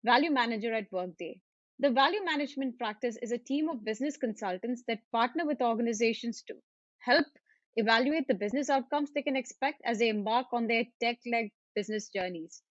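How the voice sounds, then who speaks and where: A woman's voice: fairly high pitch, dry recording. A woman hosting a webinar in a formal, scripted manner, with occasional fillers. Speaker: webinar host, value manager. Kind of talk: webinar moderation. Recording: dull, dry audio.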